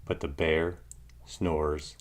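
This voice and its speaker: Low-voiced man reading a storybook, slowly. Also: level tone, a little hiss or hum. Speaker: storybook reader. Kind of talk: expressive children's read-aloud narration.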